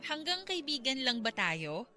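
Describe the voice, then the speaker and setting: Bubbly young Filipina, bright voice. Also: female voice, high voice, sing-song, measured pace, faint music behind, heavily acted. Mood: nervous, hopeful.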